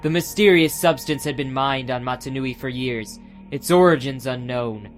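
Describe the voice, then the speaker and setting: A man's voice: mid-range voice, normal speed. A man giving a scripted, dramatic narration of a fantasy audiobook. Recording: music behind the voice.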